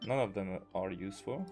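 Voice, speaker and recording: A man giving casual gameplay commentary in a hesitant, halting way, as if thinking aloud. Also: on the low side, sing-song, dark tone. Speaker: gaming video creator. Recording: game music behind the voice. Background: video game music.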